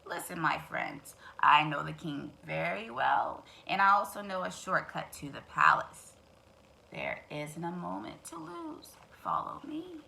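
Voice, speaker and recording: Woman voicing a slow, low, sly storybook fox. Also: very animated, sharp tone, slight background noise, heavily acted, poor audio. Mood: coaxing. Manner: slow, drawn-out, smoothly coaxing. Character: sly, oily male fox feigning kind concern. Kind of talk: children's storybook character voice.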